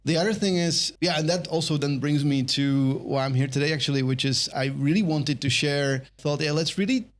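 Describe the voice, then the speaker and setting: A man's voice: on the high side, fairly quick, few pauses. A middle-aged man with a Czech accent, a guest chatting on a podcast about his career, thoughtful and rambling with restarts. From Czech Republic. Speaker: guest, brand marketer. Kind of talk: casual podcast conversation.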